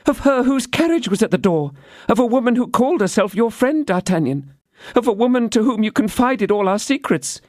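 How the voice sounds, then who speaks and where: A frail young woman, breathless and pleading, her voice lifted high and thin, as a male audiobook reader puts her on. Her speech rises and falls sharply. The recording is close and dry. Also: sing-song, fairly quick, darkish tone, dry recording.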